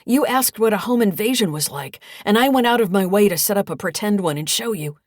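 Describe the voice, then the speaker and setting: A troublemaking grown son in an audiobook, voiced by a woman narrator, defensive and emphatic. The voice is husky and pushed up with indignation, recorded very dry. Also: medium pitch, lively intonation, a little fast, no echo, pitched above the performer's own voice, natural voice. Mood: indignant pleading. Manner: spluttering, emphatic. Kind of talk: audiobook character dialogue.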